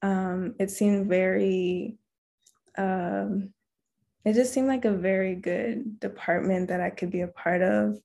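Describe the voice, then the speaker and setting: Young woman, soft-spoken, gravelly, monotone, hesitant, student guest. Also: medium pitch, slow. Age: young adult. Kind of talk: interview answers.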